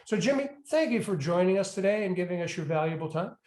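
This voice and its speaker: A man hosting a university interview series, asking questions in a measured, polite way with some fillers. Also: high-pitched, expressive, darkish tone, roomy sound. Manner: measured, polite, with "uh" and "you know". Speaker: university executive in residence, interviewer.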